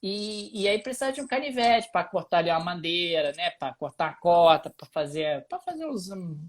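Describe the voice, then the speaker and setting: A man's voice: sits high, very animated, brisk. A man co-hosting a casual live-streamed chat podcast, telling stories animatedly and laughing often. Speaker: podcast co-host. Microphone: streaming live on social media.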